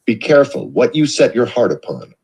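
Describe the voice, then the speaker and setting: A man reading a self-help book aloud in a measured, expressive way. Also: mid-range voice, creaky, breathy edge. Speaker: audiobook reader. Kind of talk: read-aloud narration.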